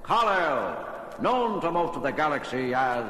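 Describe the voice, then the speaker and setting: A man's voice: on the high side, very animated, a little slow, a bit bright. Man as echoing, dignified ceremonial commissioner. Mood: formal, proud. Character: dignified male alien commissioner hosting a ceremony. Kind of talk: formal public address. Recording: very echoey large hall.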